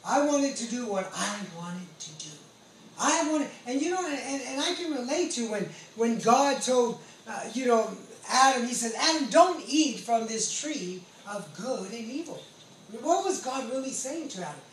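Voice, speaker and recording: A man's voice: extremely high pitch, animated, a little slow. The sound is roomy and a little distant, with faint noise behind it. An American man in his late forties preaches in testimony style. He is earnest and emphatic, circling back to repeat his phrases for weight. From California, United States. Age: late 40s. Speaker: pastor and ex-convict preacher. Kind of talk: testimony sermon. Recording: roomy echo, distant mic, background noise.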